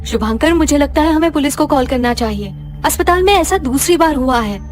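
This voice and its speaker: A determined, caring lady doctor in a cartoon horror story, played by a woman. She reassures and questions firmly in a high voice, with light music behind. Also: animated, a little fast. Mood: assertive. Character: determined, caring female doctor and wife, suspicious.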